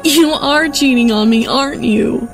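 A woman's voice: on the high side, a little slow, vocal fry, faint music behind. A woman playing an angry, suspicious wife confronting her husband in a horror audio drama. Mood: angry, accusing. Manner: accusing, confrontational. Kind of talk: horror audio story acting.

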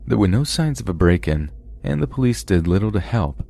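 A man hosting a podcast, calmly reading submitted scary stories aloud in a measured first-person narration. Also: on the low side, creaky. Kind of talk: scripted horror story narration.

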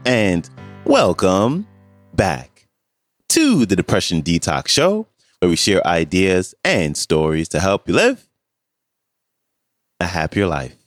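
A man hosting a podcast, introducing a clip in a measured way, his voice a bit stuffy from allergies. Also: deep voice, big pitch swings, no echo, faint music behind. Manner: slow, measured delivery. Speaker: podcast host. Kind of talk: scripted show introduction.

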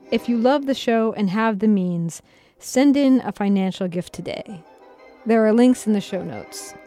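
Podcast host lady, mellow voice, scripted chat to listeners, bit of music under. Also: a little high. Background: faint music.

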